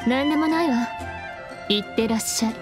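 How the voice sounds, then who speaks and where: An aloof, blunt young nun from an anime game, played by a woman. She sounds mature and cool, speaking in terse, measured phrases over faint music. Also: on the high side, natural voice. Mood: detached. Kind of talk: Japanese anime game voice acting.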